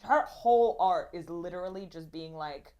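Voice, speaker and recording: A woman from Russia chatting as a podcast guest, her voice sitting a little low. She rambles, fills her talk with "like" and slips into little acted-out quotes. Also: normal speed, warm tone, muffled recording. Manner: rambling, lots of "like", acts out quotes. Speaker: comedian guest. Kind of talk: casual podcast interview.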